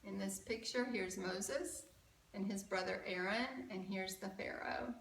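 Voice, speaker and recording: A woman storyteller reading a picture book aloud to children, expressive and deliberate, often putting questions to her listeners. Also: medium pitch, gravelly. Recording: roomy echo, distant mic.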